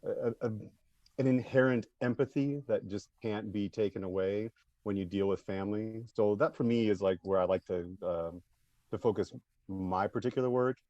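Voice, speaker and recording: Low-voiced man, slightly muffled audio. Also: normal speed, mellow, no echo. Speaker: playwright and director. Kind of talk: casual, reflective interview answer.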